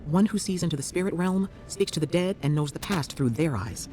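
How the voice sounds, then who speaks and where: A quick, lively fantasy healer. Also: female voice, deep voice, a little hiss or hum. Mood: earnest, advisory. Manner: inquisitive, expressive. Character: brisk, caring female healer at a fantasy clinic. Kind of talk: video game voice acting.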